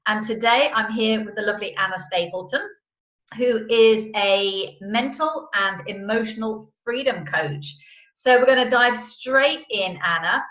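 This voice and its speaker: A woman's voice: on the high side, measured pace, a bit bright. A woman hosting an interview, introducing her guest and asking questions in a friendly way. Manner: friendly, brief backchannels. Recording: roomy, dull-sounding.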